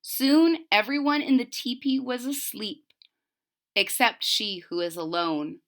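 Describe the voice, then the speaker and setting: Slow, bright-voiced woman reading a storybook. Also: a little high. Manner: deliberate, explains to young listeners. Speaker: storybook reader. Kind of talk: expressive children's read-aloud with simple asides.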